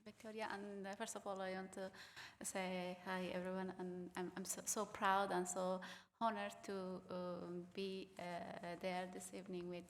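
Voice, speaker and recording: A young woman from Afghanistan whose first language is Uzbek, speaking haltingly with many fillers as a students' union equity officer on a theatre panel. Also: medium pitch, flat delivery, big-room sound, slight background noise. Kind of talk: panel talk on stage. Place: theatre stage.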